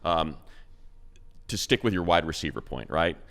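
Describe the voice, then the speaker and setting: A man's voice: low-pitched, expressive, moderate pace, vocal fry, a bit bright. A man co-hosting a football show, talking draft picks in a rambling, emphatic way. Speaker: radio play-by-play announcer and co-host. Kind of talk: animated football talk.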